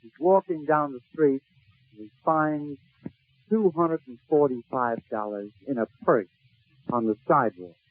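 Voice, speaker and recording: A man's voice: on the high side, a little slow. A male comedian telling funny stories in a 1940s radio style, with theatrical, short, punchy phrases. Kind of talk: 1940s radio comic storytelling. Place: radio broadcast. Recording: tinny, narrow-band 1940s radio recording.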